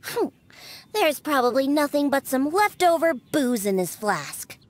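High-pitched, pert little cat girl. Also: very animated, measured pace. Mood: scornful, grumbling. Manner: pert, scolding, childlike. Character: feisty, scornful young cat girl, exasperated daughter. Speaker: game character voice actor. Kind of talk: video game character dialogue.

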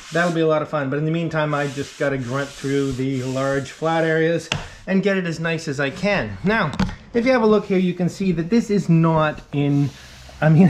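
A man's voice: fairly high pitch, a little hiss or hum. A middle-aged man narrating his wooden boat restoration casually while he works, enthusiastic and chatty, with fillers and laughs. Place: wooden boat cabin interior.

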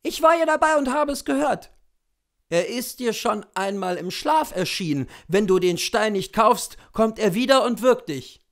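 A man's voice: high-pitched, expressive, moderate pace, voice pushed up. A man voicing a peasant cook woman who scolds a coachman in a read-aloud story. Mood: scolding.

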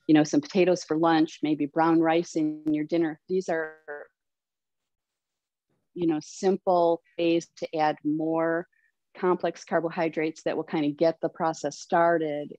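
Low, mellow-voiced woman cooking instructor. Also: no room sound. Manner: earnest, explanatory, gentle with many fillers. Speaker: plant-based cooking instructor. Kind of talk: answering questions in a video call. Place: home kitchen. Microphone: webcam at a distance. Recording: slightly dull, dry video-call audio.

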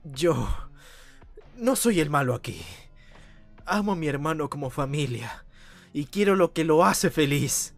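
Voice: medium pitch, expressive, measured pace, gravelly, pauses often, soft background music. An actor voicing a fiercely protective young big brother hero in a cartoon game fandub. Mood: firm, earnest. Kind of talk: fandub character acting.

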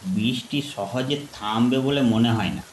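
A gentle older village man, played by a male storyteller speaks in a mid-pitched, hospitable and coaxing way. The sound is echoey, with faint rain noise behind it. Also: measured pace, natural voice. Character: kindly insistent older village man living alone.

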